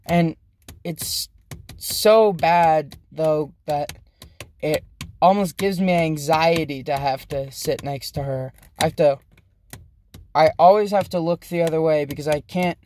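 A young man rambling in a casual vlog from inside a car, halting and self-deprecating, with many restarts. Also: fairly high pitch, a little mellow, a little hiss or hum. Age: young adult. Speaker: vlogger. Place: inside a parked car, daytime. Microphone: phone camera held close.

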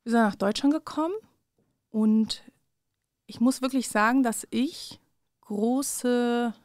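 A woman's voice: fairly high pitch, slow, darkish tone, dry recording. A woman in her thirties from Afghanistan, a journalist guest speaking thoughtfully in a studio interview, with fillers and restarts, on a studio microphone. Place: small dark studio with curtains. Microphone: large studio mic on a stand.

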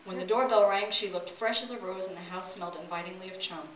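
A woman's voice: mid-range voice, quick pace, crowd noise. A woman doing a live comic story reading in a storybook narrating manner, recorded from the audience in an open-sided wooden pavilion. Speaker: author reading own work aloud. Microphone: distant audience camera. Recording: echoey pavilion, distant noisy camera audio.

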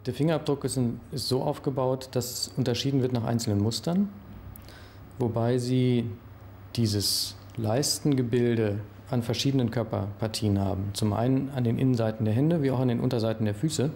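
Middle-aged male expert interviewee, creaky mellow voice, formal technical explanations in an office. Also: mid-range voice, moderate pace, slight background noise. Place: office with computer screen, indoors.

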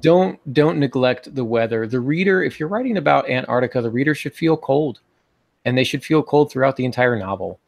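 Podcast host: American male, forties, creaky voice, informal teaching style. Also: mid-range voice, expressive, fairly quick. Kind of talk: casual instructional podcast talk. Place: small home office, indoors. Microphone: earbud headset on video call.

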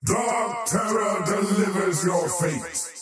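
Echoing, menacing void creature. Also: male voice, high-pitched. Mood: gloating menace. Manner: slow, portentous, theatrical, gloating. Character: faceless void creature, power-hungry oathbreaker acolyte, menacing. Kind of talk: scripted video game character voice lines. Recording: heavily echoing, distant, loud music behind.

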